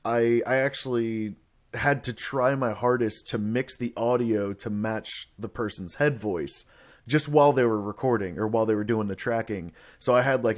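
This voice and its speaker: Young man, podcast host, casual chat, rambling, self-correcting, mid pitch. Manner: rambling, restarts sentences, laughs often.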